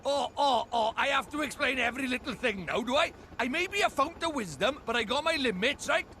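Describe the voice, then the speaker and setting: A man voicing a chatty, boastful little Welsh fairy sidekick in an animated video game, huffy and colloquial. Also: extremely high pitch, fairly quick, vocal fry, a little hiss or hum, heavily acted. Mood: exasperated. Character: chatty, exasperated little fairy sidekick who thinks himself wise.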